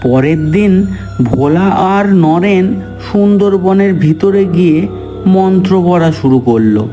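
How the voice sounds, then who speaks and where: A man voicing a grave, knowing village uncle who tells and narrates a cartoon story in a deliberate, instructive manner. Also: sits high, lively intonation, music in the background. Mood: narrating. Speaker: narrator and village elder character.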